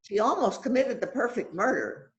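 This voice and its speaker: A woman's voice: fairly high pitch, animated, muffled recording, close to an everyday voice. A woman playing a self-assured, dramatic detective who bosses an assistant around in an amateur mystery play. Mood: triumphant, explaining. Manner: deliberate, dramatic, musing. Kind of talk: amateur readers theater, radio mystery acting.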